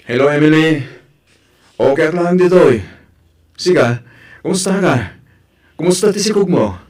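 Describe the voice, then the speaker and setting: A man acting in a family drama as an evasive young fiancé, speaking conversationally and hesitantly with a husky voice. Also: fairly high pitch, expressive, a little slow, a little mellow. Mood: gently reassuring. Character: evasive young man engaged to a pregnant woman.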